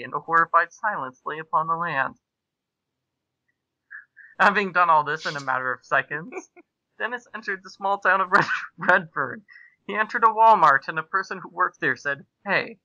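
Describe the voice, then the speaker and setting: Bright, forceful man reading stories aloud. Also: on the high side, no echo, highs cut off. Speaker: podcast host reading fan fiction aloud. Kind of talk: amused dramatic reading of comic fan fiction.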